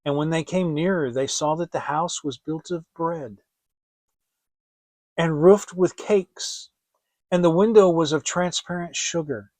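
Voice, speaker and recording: A man giving an expressive, theatrical audiobook reading of a fairy tale as storyteller. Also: on the high side, unhurried, no room sound.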